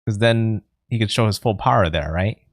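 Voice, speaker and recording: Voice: medium pitch, normal speed, no echo, studio quality. A streamer giving casual playthrough commentary on a video game, reacting informally.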